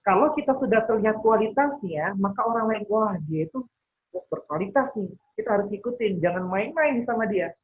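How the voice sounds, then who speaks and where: A man's voice: high voice, animated, brisk. A man teaching interactively as a trainer, explaining, checking that listeners follow and voicing imagined thoughts as examples. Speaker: NLP trainer leading a webinar. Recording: thin phone-like webinar audio.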